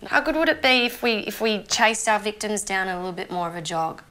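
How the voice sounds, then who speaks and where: A woman's voice: medium pitch, expressive, brisk, sharp tone, clean tone. An actress playing an opinionated young female zombie with a conscience, ranting conversationally and swearing freely in an Australian accent, as if interviewed for a mockumentary. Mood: mocking, exasperated. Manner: sweary, indignant, conversational rambling.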